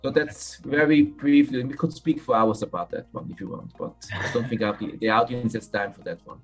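A man with a Swiss accent speaks frankly as a podcast guest, often breaking off and restarting his phrases. His voice sits at an ordinary pitch, and faint music plays underneath. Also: slightly rough. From Switzerland. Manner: frank, halting, restarts phrases mid-sentence. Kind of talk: casual interview conversation.

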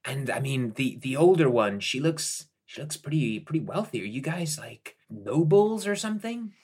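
A man voicing a pushy salesman in a tabletop game, making an eager pitch. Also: lively intonation, normal speed, a little mellow, slightly rough. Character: pushy middle-aged male huckster salesman.

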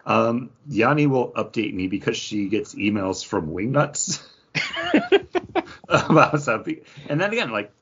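A man's voice: medium pitch, huge pitch swings, measured pace, a bit bright, slight background noise. A man chatting casually as a podcast co-host. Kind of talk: casual chat. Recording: dull lo-fi podcast audio.

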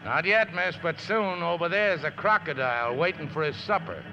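An actor playing a scrappy, good-hearted riverboat skipper with folksy, ungrammatical speech in a 1950s radio drama. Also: male voice, a little high, normal speed, gravelly. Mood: tense. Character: scrappy, rough-mannered male riverboat skipper, plain-spoken, good-hearted. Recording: muffled, noisy, reverberant old radio recording.

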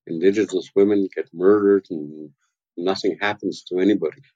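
Grave older man with a deep dark voice. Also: fairly even intonation, husky, highs cut off. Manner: grave, measured, plain-spoken. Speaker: elder and canoe carver, interview guest. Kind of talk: slow reflective storytelling.

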